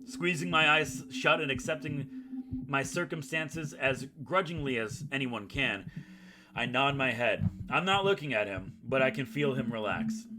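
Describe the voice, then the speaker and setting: A man's voice: mid-range voice, unhurried, noisy background, natural voice. A man reading the inner monologue of an anxious, self-loathing schoolboy in a visual novel, gloomy and wordy. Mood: overwhelmed. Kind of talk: visual novel read aloud.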